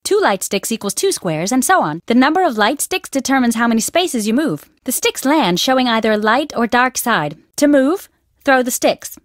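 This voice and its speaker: A woman's voice: on the high side, no room sound, clean studio sound. A woman voicing a bossy, competitive character at a dig site who explains a board game. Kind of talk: video game voice lines.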